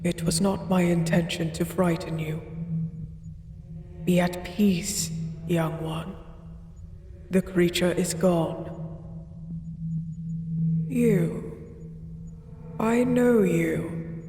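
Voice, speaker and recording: A mysterious ancient deity, given voice by an actor that speaks slowly from far off, its words ringing through a long echoing hall. Music swells behind it. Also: medium pitch. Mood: gentle. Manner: commanding when it banishes, soft and soothing after. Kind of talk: horror audio roleplay acting.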